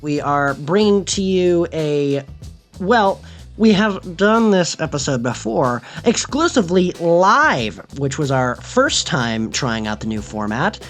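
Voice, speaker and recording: A man's voice: fairly high pitch, big pitch swings, takes it slowly, faint music behind. An American man hosting a podcast in a casual, chatty style. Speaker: podcast host. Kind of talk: casual chat.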